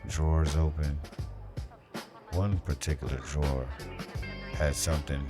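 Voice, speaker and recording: Very deep, slow noir detective. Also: male voice, level tone. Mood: brooding, suspicious. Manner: brooding, terse, deliberate. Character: hard-boiled noir police detective narrating his case. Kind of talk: noir audio drama narration. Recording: loud music bed behind the voice.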